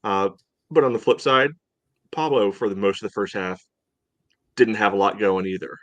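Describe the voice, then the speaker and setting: Low-voiced man, casual sports podcast host. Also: a bit bright, dry recording. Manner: measured, hedging, filler-heavy with "you know". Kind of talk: casual sports analysis chat.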